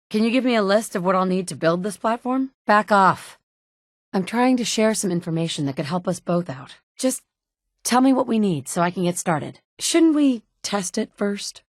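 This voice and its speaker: A woman's voice: fairly low pitch, dry recording. A woman playing a determined young mother surviving in a wasteland, with earnest, dry, conversational delivery in video game dialogue. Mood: irritated, sharp. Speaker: video game player character.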